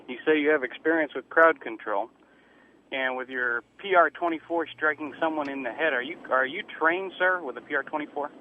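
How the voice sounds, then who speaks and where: Casting: male radio caller, slightly high voice, quick and insistent, on a tinny phone line. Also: slight background noise. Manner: earnest, insistent. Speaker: caller, law enforcement officer. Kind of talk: call-in argument. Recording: dull narrow phone line.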